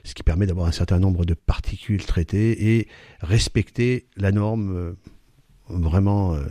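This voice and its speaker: A man's voice: low voice, a little slow, warm tone, no room sound. A man answering interview questions as a company executive, hesitant, with many fillers and restarts.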